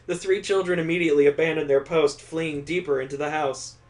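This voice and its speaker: A man's voice: on the high side, fairly even intonation, fairly quick, a little mellow. A man hosting a let's play, reading a visual novel aloud and adding sarcastic joking asides.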